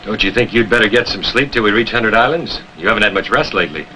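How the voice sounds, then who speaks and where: An actor playing a concerned, cautious submarine officer, speaking earnestly and respectfully in a 1950s military drama style. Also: male voice, lowish voice, fast, bright, forceful tone, poor audio. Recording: old TV soundtrack, faint noise.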